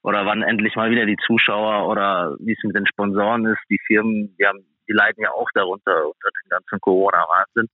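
A man's voice: lowish voice, fairly even intonation, fast talker, dry recording, phone-quality sound. A man being interviewed casually as an athlete guest, speaking in a hesitant, plain-spoken way. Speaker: guest, professional ice hockey player.

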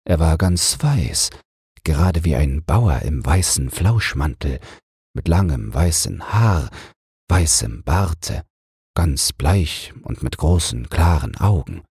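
Very deep, husky male bedtime storyteller. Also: expressive, darkish tone, dry recording, studio quality. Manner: measured, soothing storytelling. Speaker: audiobook reader. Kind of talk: slow bedtime fairy tale narration.

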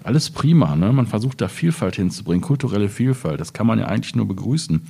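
A man in a reflective literary discussion, speaking hesitantly and deliberately as he searches for his words. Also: fairly low pitch, expressive, gravelly, mellow, slightly rough. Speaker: author in panel discussion.

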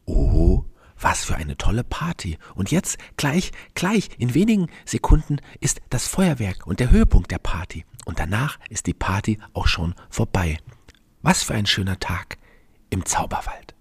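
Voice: deep voice, very animated, normal speed, slightly rough, no echo, clean studio sound. A narrator hosting a radio play podcast for children, speaking to the listeners.

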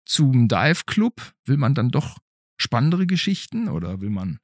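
A man's voice: mid-range voice, big pitch swings, unhurried, dry recording, clean studio sound. A man hosting a casual interview with a child, asking questions in a playfully impressed manner. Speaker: podcast host.

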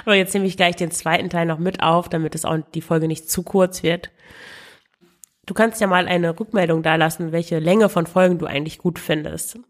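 A woman's voice: lowish voice, steady tone, moderate pace. A woman hosting a podcast with frugal living tips, talking in a chatty, direct way to her listener while she has a cold. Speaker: podcast host. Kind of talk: casual money-saving tips podcast.

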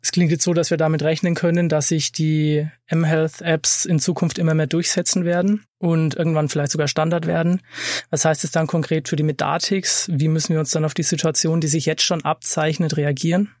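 A man hosts an interview in a formal, polite manner, his voice a little higher than most men's. He puts his questions in an orderly way. His voice sounds close and dry in the studio. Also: flat delivery, a little fast, a little mellow, no room sound. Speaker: podcast host, interviewer.

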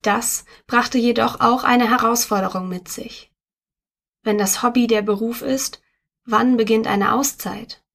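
Woman reading an article, higher voice. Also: vocal fry. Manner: steady, measured read-aloud delivery. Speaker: article reader. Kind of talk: scripted narration of a magazine article.

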